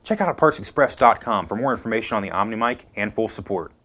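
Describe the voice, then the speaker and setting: Male product presenter, American, thirties, animated intonation, scripted how-to delivery. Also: fairly low pitch, fast.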